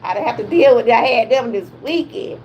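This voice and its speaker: A woman's voice: on the high side, lively intonation, a little fast, a little mellow, slight background noise. Chatty Southern woman in her fifties. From Georgia, USA. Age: 50s. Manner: chatty, exclamatory, folksy. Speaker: wellness vlogger. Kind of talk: casual livestream chat.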